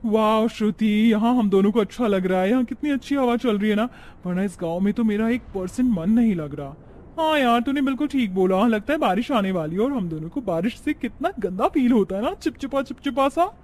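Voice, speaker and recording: A man voicing a haughty young modern city daughter-in-law, sassy and defiant, mixing in English words, in an animated story. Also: high voice, a little fast, slight background noise. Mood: pleased, complaining.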